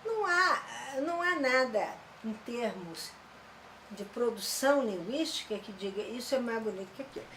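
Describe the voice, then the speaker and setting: A woman's voice: fairly high pitch, lively intonation, normal speed, a bit bright. Older woman professor, emphatic, roomy. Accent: Brazilian Portuguese. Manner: explanatory, emphatic. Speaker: retired linguistics professor. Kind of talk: interview answer. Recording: roomy interview sound with faint noise.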